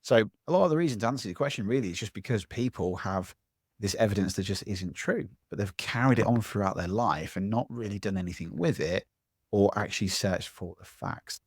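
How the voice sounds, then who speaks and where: A man, a public speaking coach giving a lively interview answer from home, acting out voices as he talks. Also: fairly low pitch, brisk, slightly rough, no echo, studio quality. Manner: animated, acts out other people's voices.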